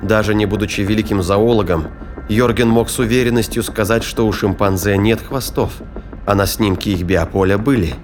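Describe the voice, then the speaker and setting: Low-voiced man narrating gravely. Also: steady tone, fairly quick. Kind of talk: scripted dramatic narration of sci-fi thriller. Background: faint background music.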